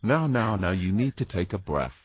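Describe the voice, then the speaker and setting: A man acts as a protective fantasy romance hero with a low, husky voice. He is gentle and coaxing, with expressive swings in pitch, in an intimate audio drama style. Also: moderate pace, lo-fi sound. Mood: soothing. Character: tender, protective human man soothing a mermaid.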